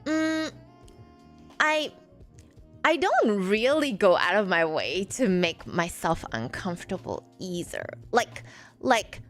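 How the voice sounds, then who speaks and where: A woman in her forties who grew up in Malaysia, a streamer answering chat in a halting way with many fillers. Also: medium pitch, big pitch swings, faint music behind. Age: 40s. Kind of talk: live stream chat reading viewer comments.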